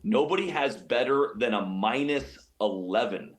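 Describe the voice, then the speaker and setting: A man's voice: medium pitch, animated, unhurried. A man giving sports analysis as an interview guest, explaining his points emphatically and often repeating phrases. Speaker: radio play-by-play announcer as guest.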